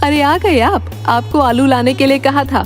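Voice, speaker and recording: A woman's voice: high-pitched, measured pace, faint music behind. A woman voicing an exasperated, nagging village wife in a cartoon story, scolding shrilly. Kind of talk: animated moral-story cartoon acting.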